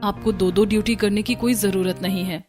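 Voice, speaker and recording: A woman's voice: medium pitch, steady tone, a little fast, darkish tone, faint music behind, close to an everyday voice. A woman playing a patient, polite young daughter-in-law in a cartoon family drama. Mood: reassuring. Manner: earnest, polite.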